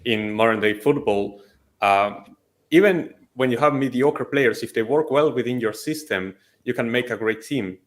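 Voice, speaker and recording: A man with a medium-pitched voice takes part in a football panel. He talks in a casual, conversational way as he gives his opinion. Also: normal speed. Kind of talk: casual discussion.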